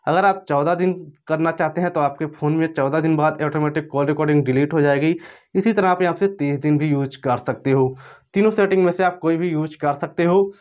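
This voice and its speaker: A man's voice: on the high side, brisk. A man narrating an informal step-by-step tutorial in repetitive, instructional phrasing. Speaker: phone tutorial presenter. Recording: dull, lo-fi voice-over.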